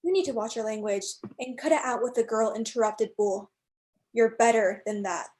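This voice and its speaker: A woman playing a firm, stern doctor who leads group therapy in a staged play reading, questioning in a measured way. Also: fairly high pitch, darkish tone, natural voice. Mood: stern, reproving.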